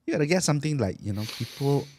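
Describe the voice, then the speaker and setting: A man co-hosting a podcast, easygoing in casual chat and often trailing off quietly. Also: on the high side, expressive, fast talker, slight background noise. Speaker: podcast co-host.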